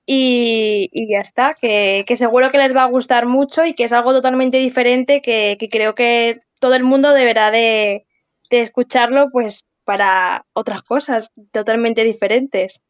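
High-voiced Spanish woman, slightly dull sound. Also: fairly even intonation, measured pace, clear, resonant tone, no room sound. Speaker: podcast guest. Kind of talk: casual chat.

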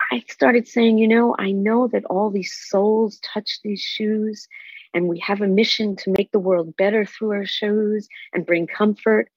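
Older female interview guest, breathy husky tone, deliberate and emphatic, muffled dry sound. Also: mid-range voice, darkish tone, no echo. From Israel. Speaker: guest, spiritual counselor. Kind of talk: personal storytelling in an interview.

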